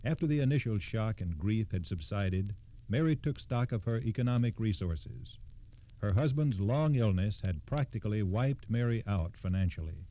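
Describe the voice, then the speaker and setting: An American man tells a scripted story in the old-time radio manner. The voice is fairly low, with an extremely dark and soft-edged tone. His delivery stays formal and factual. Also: lively intonation, moderate pace.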